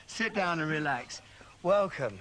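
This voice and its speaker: A man with a fairly high voice hosts a TV chat show, camp and teasing, gushing over his guest. He often trips over his words and restarts his questions. Faint ambience hums behind him. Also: a little slow, a little hiss or hum, low-quality recording. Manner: flamboyant, teasing and excitable, with frequent restarts.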